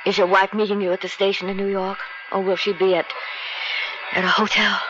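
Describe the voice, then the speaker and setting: A woman's voice: medium pitch, flat delivery, brisk, close to an everyday voice. An actress in a radio drama playing a clinging, heartbroken mistress pleading with her departing lover. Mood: anxious, wistful. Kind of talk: 1970s radio drama acting. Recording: dull radio recording over music.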